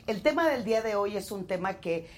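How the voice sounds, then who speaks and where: A woman's voice: medium pitch, expressive, brisk, a little hiss or hum. A woman guest on a talk show, presenting a sexual health segment in a friendly, explanatory way.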